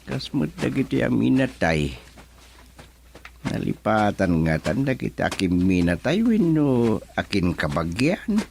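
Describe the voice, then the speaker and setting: A man's voice: fairly low pitch, sing-song, a little slow, vocal fry, darkish tone, a little hiss or hum, exaggerated voice. A man acting an elderly, folksy, easily flustered village chairman in an Ilocano radio comedy drama, muttering and humming with an Ilocano Filipino accent. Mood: musing. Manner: muttering to himself with hums and exclamations.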